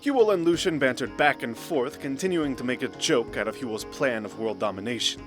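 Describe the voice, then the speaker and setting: A man with a mid-pitched voice narrates a scripted fantasy tale. His delivery is measured and literary, and music plays clearly behind him.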